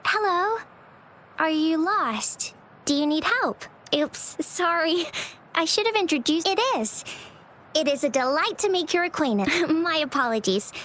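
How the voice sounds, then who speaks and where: A woman playing a bubbly, eager, easily excited young woman in an English video game dub, chatty and apologetic. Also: sits high, unhurried, a little hiss or hum. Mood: polite, flustered.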